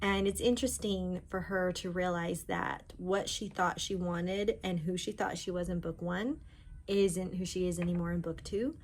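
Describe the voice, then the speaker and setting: A woman author talking informally about books, in an animated storytelling way. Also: lowish voice, a little hiss or hum. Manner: animated storyteller, voicing characters' thoughts in quotes. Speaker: author discussing own books. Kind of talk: chatty informal book talk.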